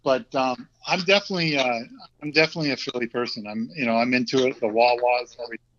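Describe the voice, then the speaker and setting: A man's voice: mid-range voice, fast talker. Laid-back Vermont man, muffled audio. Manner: relaxed, hesitant, many ums and restarts. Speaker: guest, former hockey player. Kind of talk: casual interview chat. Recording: dull lo-fi audio.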